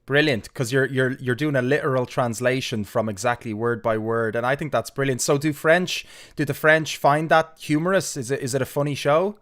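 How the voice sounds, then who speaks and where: A male host leads a live interview with loose, rambling questions scattered with fillers and restarts. His pitch sits right in the middle of the male range. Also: moderate pace, few pauses, a bit bright. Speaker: show host. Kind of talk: casual interview chat.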